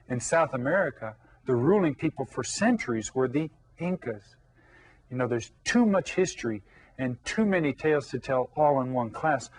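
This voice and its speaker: A man's voice: mid-range voice, husky. A man teaching a Spanish lesson to young children, explaining patiently and repeating key words. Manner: patient, explains slowly with repetition.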